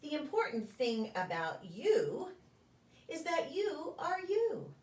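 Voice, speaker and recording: Slow, lilting woman reading to young children. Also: high-pitched, huge pitch swings, a little mellow. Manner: deliberate, sing-song storytelling for young children. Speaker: pastor reading stories to preschool children. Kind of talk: read-aloud children's picture book. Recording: echoey room, distant microphone.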